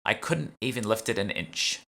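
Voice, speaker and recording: A brazen woman con artist from an audiobook, put on by a man, breezy and haughty. The delivery is sharp-tongued, with a bright edge and a pitch raised over the narration. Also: normal speed, gravelly. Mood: flat, cocky. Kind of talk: audiobook character dialogue.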